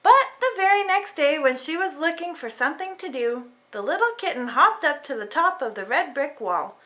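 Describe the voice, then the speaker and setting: A woman narrates a children's storybook with theatrical flair. Her voice is high and bright, with big swings in pitch. She tells it expressively, as if to very young listeners. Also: normal speed. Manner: animated, dramatic storytelling for small children. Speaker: storybook reader.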